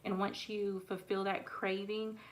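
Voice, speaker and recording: A woman's voice: medium pitch, level tone, measured pace, poor audio. Frank woman in her late twenties. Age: late 20s. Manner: frank, conversational, many fillers. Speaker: livestream host and dating coach. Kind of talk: casual livestream Q&A.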